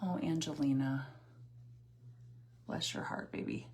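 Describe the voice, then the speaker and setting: A woman's voice: deep voice, fairly even intonation, unhurried, darkish tone, breathy edge. A woman in her forties chatting casually on a livestream while doing her makeup, sassy and chatty, answering viewer comments and laughing often. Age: 40s. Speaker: livestream host.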